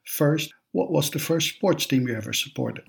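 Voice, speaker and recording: A man hosting a podcast, reading formal introductions and asking questions in a measured way. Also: a little high, lively intonation, gravelly, husky. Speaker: podcast host.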